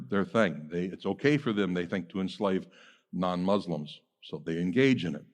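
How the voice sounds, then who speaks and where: A middle-aged man teaches from the pulpit in a relaxed, conversational way, often pausing on fillers and wandering into asides. His voice sits on the low side. The sound carries a long reverb from a church hall. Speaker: pastor. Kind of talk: verse-by-verse sermon teaching.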